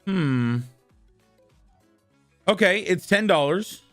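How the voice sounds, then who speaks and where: A male streamer with a worn-out, husky voice that sits a little high and swings widely in pitch. He rambles casually to his chat and bursts into loud greetings, with faint music playing underneath. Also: expressive, drawn-out words. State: hoarse, worn-out voice. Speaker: live streamer.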